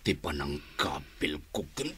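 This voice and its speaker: A man's voice: extremely low pitch, a little slow, pushed and bright, husky, dry recording, full character voice. An actor playing a wrathful middle-aged father and doting husband in a radio drama, blustering in a Filipino accent. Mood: enraged. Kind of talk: melodramatic radio drama acting.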